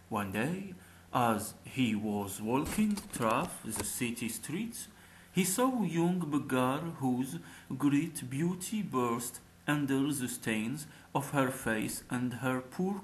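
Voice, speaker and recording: Slow, expressive male storyteller. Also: medium pitch, slight background noise, poor audio. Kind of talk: scripted folk tale narration.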